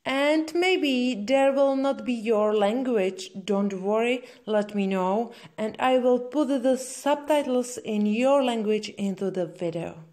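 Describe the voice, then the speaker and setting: A woman's voice: on the high side, takes it slowly, few pauses, darkish tone. A woman with a Czech accent, a native Czech speaker, giving step-by-step craft instructions in simple, slightly hesitant non-native phrasing. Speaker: crochet tutorial host. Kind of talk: spoken step-by-step craft tutorial.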